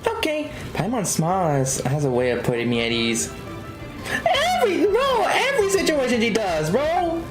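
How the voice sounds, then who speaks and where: A man's voice: very high voice, wildly swooping pitch, moderate pace, over music, low-quality recording. A man live streaming a video game, reading dialogue aloud and reacting casually with exclamations.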